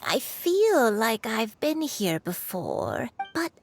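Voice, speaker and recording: A woman voicing a kindly, forgetful old granny in a video game, gentle and doting. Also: on the high side, sing-song, slow pace, slight background noise, heavily acted. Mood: pensive. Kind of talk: video game character dialogue.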